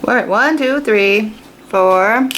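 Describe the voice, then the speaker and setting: A woman's voice: medium pitch, slow pace, a bit bright. American woman teacher, noisy recording. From United States. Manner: explanatory, asks questions of young listeners. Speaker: fifth-grade teacher. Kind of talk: children's read-aloud lesson with informal asides.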